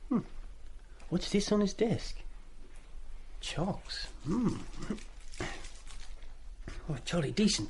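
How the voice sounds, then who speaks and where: A man's voice: high voice, slight background noise, full character voice. An actor playing an eager, cheeky British schoolboy in a radio play, chatty and indiscreet but always polite to his elders. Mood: tentative, muttering. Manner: chatty, deferential with schoolboy slang.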